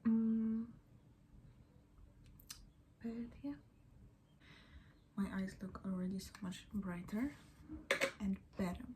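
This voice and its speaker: A young woman talks slowly and softly, half-whispering as she rambles. She is not a native English speaker. Her voice is mellow, with a faint hiss in the background. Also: mid-range voice, steady tone. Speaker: beauty and book vlogger. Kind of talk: get-ready-with-me chat. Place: at home in front of a mirror.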